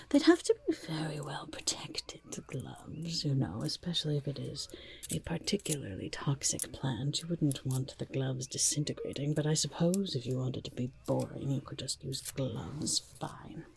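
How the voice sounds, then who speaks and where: A woman's voice: very deep voice, big pitch swings, normal speed, exaggerated voice. A woman voicing an eccentric, shifty goblin market trader in a whispered ASMR roleplay, chatty and rambling with a sly salesperson's manner. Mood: grudging. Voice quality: breathy whisper with creaky murmurs. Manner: sly, rambling, muttering salesperson, refers to self by name.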